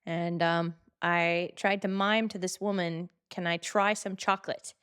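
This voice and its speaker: A woman's voice: fairly low pitch, level tone, unhurried, a bit bright, clean tone. A woman co-hosting a health podcast, chatty and playful while telling stories. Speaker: podcast co-host. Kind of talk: casual podcast chat.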